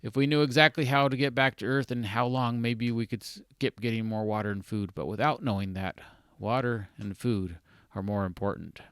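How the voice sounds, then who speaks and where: A man's voice: mid-range voice, steady tone, moderate pace, fairly bright tone, smooth, no room sound, natural voice. Man reading as practical level-headed young man. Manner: practical, explanatory.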